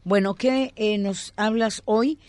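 A woman with a Mexican accent hosting a conversational interview and reading audience questions, halting and often breaking off her own sentences. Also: medium pitch, a little mellow, no room sound. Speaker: interview host.

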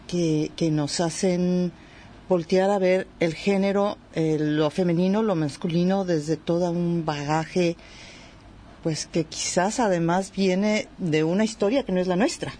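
A woman's voice: lowish voice, mellow, a little hiss or hum. A woman co-hosting a radio talk show, laughing and tripping over her words. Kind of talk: casual radio chat.

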